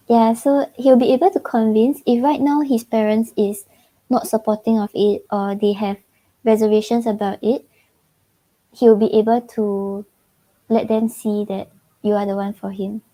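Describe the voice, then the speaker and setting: Giggly Singaporean woman, mellow voice. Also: a little high, little pitch movement, measured pace, pauses often, poor audio. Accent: Singaporean English. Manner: chatty, giggles often, many "like" fillers. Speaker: tarot reader. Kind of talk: casual livestream tarot reading.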